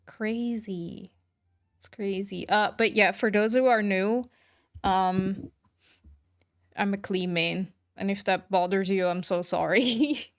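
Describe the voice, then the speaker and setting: A woman's voice: medium pitch, steady tone, slow. A woman chatting casually with her viewers as she streams a game, informal and reactive to their comments. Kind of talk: casual livestream chat with viewers. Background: music behind the voice.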